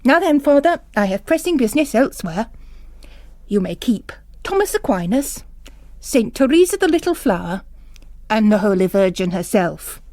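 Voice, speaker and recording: A woman's voice: on the high side, sing-song, normal speed, darkish tone, no echo, studio quality. A woman voicing a pompous, impatient male bishop with a blustering, emphatic manner, in an audiobook-style drama. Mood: impatient, brisk.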